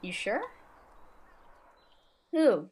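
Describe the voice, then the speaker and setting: A woman's voice: medium pitch, sing-song, drawn-out words, darkish tone. Woman doing a naive little schoolboy, picked-on but eager, audio drama, bit of background noise. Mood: friendly, curious. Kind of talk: amateur audio drama acting.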